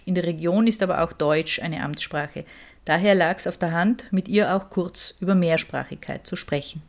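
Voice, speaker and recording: A woman host with a somewhat low voice introduces the episode. Her reading is steady and informative, with only a few fillers. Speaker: podcast host, narrator. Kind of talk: scripted introduction.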